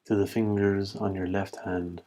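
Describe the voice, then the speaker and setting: A man with a low voice guides a body scan meditation. His delivery stays flat and even, with little rise or fall. He speaks as a calm instructor, setting out each instruction in short, measured phrases with space between them.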